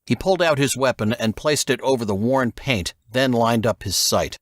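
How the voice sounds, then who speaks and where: A man's voice: medium pitch, moderate pace, no room sound, studio quality. A man reading a scripted work of fiction as an audiobook narrator.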